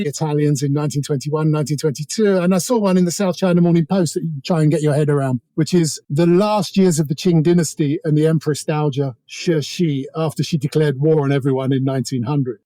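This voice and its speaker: A middle-aged Englishman talks as an interview guest in a slightly high but dark, mellow voice. He is discursive, opinionated and wry, thinking through his analysis conversationally in a dry recording. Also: dry recording. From England.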